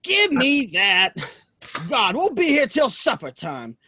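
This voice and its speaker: A man's voice: extremely high pitch, wildly swooping pitch, slow, sharp tone, husky, dry recording, studio quality, exaggerated voice. A man playing a cranky, sarcastic saloon regular in a Western audio play, with a folksy, mocking way of talking. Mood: impatient.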